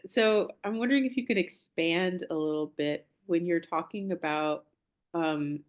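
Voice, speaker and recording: Interviewer: woman, somewhat low voice, reflective and searching. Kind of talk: conversational interview questions.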